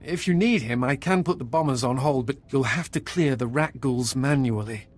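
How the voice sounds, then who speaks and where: An actor playing a deferential, efficient imperial liaison officer in a video game, formal and polite in manner. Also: male voice, mid-range voice, normal speed, close to an everyday voice. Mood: grave, matter-of-fact.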